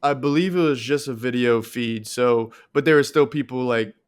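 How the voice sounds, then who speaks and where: A man's voice: medium pitch, normal speed, clean tone. A man co-hosting a podcast in casual, reflective conversation. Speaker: podcast co-host. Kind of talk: casual chat.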